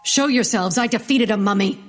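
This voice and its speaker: A woman's voice: mid-range voice, moderate pace, soft background music, natural voice. An actress playing an eccentric, defiant woman botanist with theatrical intensity in a comedic audio drama.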